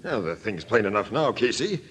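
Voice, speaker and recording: An actor playing a brisk, no-nonsense police captain giving orders and questions in a 1940s radio crime drama. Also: male voice, fairly low pitch, very animated, fairly bright tone. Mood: businesslike. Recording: faintly noisy old radio recording.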